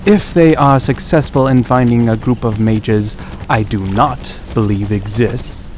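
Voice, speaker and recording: A man's voice: mid-range voice, unhurried, a little mellow, lightly acted, rough recording. Male actor as proud dragon commander, medium register, terse formal condescension, fantasy audio drama, faint background noise. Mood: terse, condescending.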